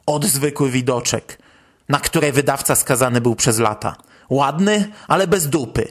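A man's voice: medium pitch, measured pace. A Polish man hosting a podcast, commenting on news in a conversational, wry way. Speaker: podcast host.

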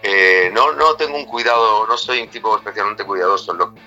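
A middle-aged Spanish rock singer speaks in a very low voice with a rough, cracked edge and a sharp, forceful tone. He rambles loosely through his answers and keeps tacking little questions onto the ends of his sentences. Music plays faintly under the interview. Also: a little fast, lo-fi sound. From Spain. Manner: rambling, many restarts and tag questions. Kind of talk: casual interview talk.

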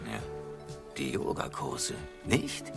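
A man playing a gruff, grumbling, practical sailor in a satirical radio drama. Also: slow pace, over loud music, natural voice.

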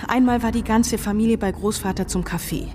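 Woman as anxious young woman, highish voice. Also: fairly even intonation, a little fast, music in the background, natural voice. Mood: anxious, relieved. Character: anxious, affectionate young granddaughter. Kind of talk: German radio play acting.

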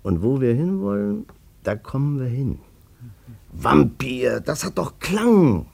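Animated, smooth-talking charmer. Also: male voice, mid-range voice, slow pace, exaggerated voice. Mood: eager, persuasive. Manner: chatty, knowing, persuasive asides to the listener. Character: smooth-talking, scheming 35-year-old salon employee, a self-assured ladies' man. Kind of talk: German radio play acting, first-person storytelling and dialogue.

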